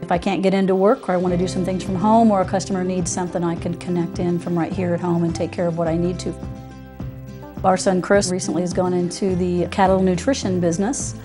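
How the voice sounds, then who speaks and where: A middle-aged woman speaks in a somewhat low voice. She gives a conversational customer testimonial for a promotional spot, with music clearly playing behind her. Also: little pitch movement, fast talker. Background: music under the voice.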